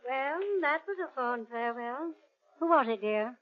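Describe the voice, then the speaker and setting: A woman's voice: fairly high pitch, normal speed, lightly acted. An actress playing a mild older mother in old-time radio style, fussing, cautioning and dryly teasing. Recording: muffled lo-fi old radio recording.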